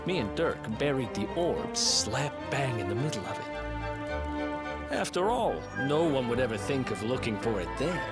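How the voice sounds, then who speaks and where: Cocky young thief, animated. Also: male voice, mid-range voice, a little slow. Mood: boastful, conspiratorial. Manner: brash, breezy, conspiratorial. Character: cocky, streetwise young male thief and rogue sidekick. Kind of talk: video game voice acting. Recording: loud game music behind. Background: game music.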